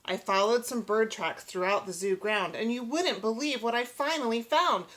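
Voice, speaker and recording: A woman reading a children's picture book aloud, giving the rhyming verse an expressive, rhythmic delivery. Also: fairly high pitch, moderate pace, rough recording. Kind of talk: children's storybook read-aloud.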